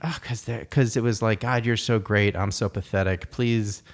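A man's voice: on the low side, fairly even intonation, normal speed. A man talking in a casual conversation, reflective and rambling, with many fillers and restarts. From United States.

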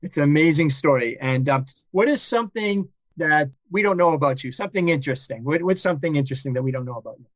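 A man's voice: on the high side, expressive, fast, hardly any pauses, no echo. A man hosting a podcast interview, repeating words as he starts his questions and remarks. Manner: warm, stammering repeats at phrase starts. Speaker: podcast host. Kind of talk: casual interview conversation.

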